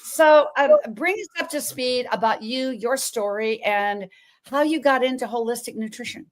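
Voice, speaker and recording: A woman's voice: fairly high pitch, moderate pace. A woman hosting an online talk, chatty and effusive, often restarting her sentences. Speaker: host. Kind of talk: casual interview chat.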